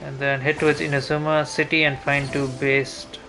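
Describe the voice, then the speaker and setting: A man's voice: fairly high pitch, little pitch movement, normal speed. A man streaming a game, thinking aloud in a casual, hesitant way as he talks through his route. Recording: game music behind voice. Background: video game music.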